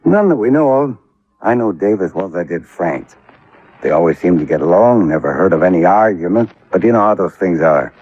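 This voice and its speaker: An American actor playing an easygoing, laconic police lieutenant in a 1950s radio drama, unhurried and noncommittal, with a husky voice. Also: male voice, sits low, expressive, no room sound. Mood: laconic, matter-of-fact. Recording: dull vintage radio recording.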